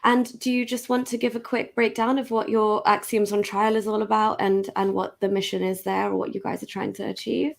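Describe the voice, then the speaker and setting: A woman's voice: medium pitch, little pitch movement, a little fast, gravelly, few pauses. A woman hosting an interview, asking questions and giving short encouraging replies. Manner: brief encouraging backchannels. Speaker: host. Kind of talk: casual interview talk.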